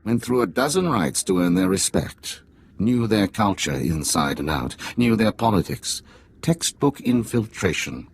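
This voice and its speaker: A man playing a jaded middle-aged undercover spy in a video game, with a gravelly, husky voice and a sardonic, deliberate manner. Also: deep voice, big pitch swings, full character voice. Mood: wry briefing. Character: jaded male Imperial spy posing as alien tea house owner, middle-aged father. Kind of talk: cinematic video game dialogue.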